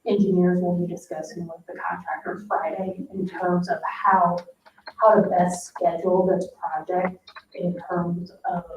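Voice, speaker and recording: A woman staff member explaining details at a public meeting, with a measured, halting delivery. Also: on the low side, a little mellow. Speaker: staff member briefing a board. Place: indoor town board meeting room. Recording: roomy, distant, muffled meeting-room microphone with noise.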